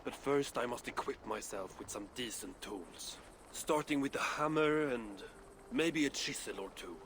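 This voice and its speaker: An actor playing a proud, foul-mouthed dwarf craftsman in a video game, gruff and sardonic. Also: medium pitch, animated, unhurried, fairly bright tone, slight background noise. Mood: earnest, scheming. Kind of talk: cinematic video game acting.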